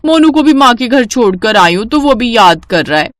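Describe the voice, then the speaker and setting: A woman's voice: a little high, very animated, moderate pace, a bit bright, a little hiss or hum. A woman voicing a scheming, greedy young aunt by marriage in a cartoon story, talking slyly and faking innocence. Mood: falsely sweet. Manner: suspicious, sly, feigns innocence. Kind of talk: cartoon voice acting.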